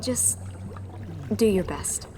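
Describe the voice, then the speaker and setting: A woman playing a tense young rebel leader who talks brusquely and is quick to panic. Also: warm tone, a little hiss or hum. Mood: dry. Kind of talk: audio drama acting.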